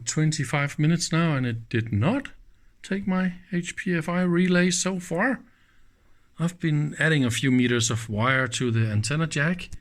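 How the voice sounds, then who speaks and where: A man gives a casual, hands-on technical demonstration in an informal, enthusiastic manner. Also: fairly high pitch. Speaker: host of an electronics teardown channel.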